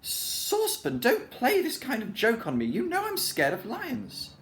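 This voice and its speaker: A man's voice: high voice, huge pitch swings, moderate pace, voice pushed up. A man voicing a fussy old washerwoman who speaks shrilly and snappily. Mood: snappy.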